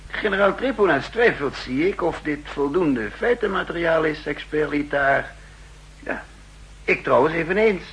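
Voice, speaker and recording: An actor playing a polite, probing counter-espionage chief with measured courtesy, in 1960s radio drama style. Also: male voice, a little high, lively intonation, a bit bright, poor audio. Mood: courteous, probing.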